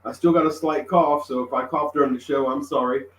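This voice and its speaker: A man with a worn-out, hoarse voice, his words coming out plain and unhurried. He sits back from the microphone, so his speech reaches it with a roomy echo and a faint hiss. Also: a little high, fairly even intonation, recorded from a distance. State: voice nearly gone after illness. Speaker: guest on a live cooking stream. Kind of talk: casual chat. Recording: echoey room, heard from off-mic.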